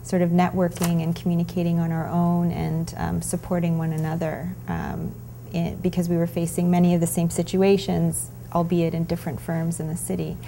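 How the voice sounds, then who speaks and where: A woman lawyer giving a measured interview answer, with frequent soft fillers. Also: fairly low pitch, little pitch movement, vocal fry, slight background noise.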